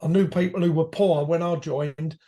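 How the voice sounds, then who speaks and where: A man, hoarse from a cold, a guest reminiscing about his years as a firefighter in rambling, self-correcting talk. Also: on the high side, fairly even intonation, moderate pace, warm tone. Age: 50s. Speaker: podcast guest, retired firefighter. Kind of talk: reflective conversational storytelling.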